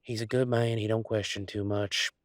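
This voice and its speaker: A man's voice: on the low side, level tone, normal speed, dry recording, close to an everyday voice. An easygoing werewolf boyfriend, acted by a man in an intimate audio roleplay. He rambles in a folksy, affectionate, teasing way. The sound is close and dry. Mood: reflective, reassuring. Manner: folksy, rambling, playful drawl with colloquial turns. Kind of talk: intimate one-sided roleplay audio, conversational acting.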